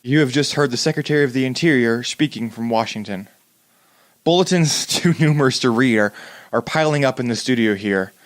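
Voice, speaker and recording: A man's voice: medium pitch, brisk, no echo, natural voice. A man playing a radio news announcer in a 1930s-style drama, reading bulletins in a formal, grave manner.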